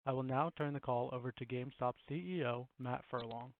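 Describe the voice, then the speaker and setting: Fast-talking man on a phone line. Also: mid-range voice. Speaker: earnings call announcer introducing the chief executive. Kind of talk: scripted corporate disclaimer reading. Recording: tinny, phone-like conference call line.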